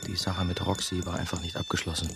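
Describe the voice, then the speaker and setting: An actor playing a brooding, haunted former novice, confiding his story in measured, terse radio drama narration. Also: deep voice, little pitch movement, creaky, loud music behind the voice. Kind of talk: first-person radio drama monologue.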